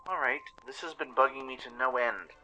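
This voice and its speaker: Guy doing a meek little pony kid, high bright voice, nervous n stammery, radio play thing. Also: fairly quick, dull audio, soft background music. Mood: curious. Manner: stammering, flustered questioning. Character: young foal pony, meek, anxious, naively curious.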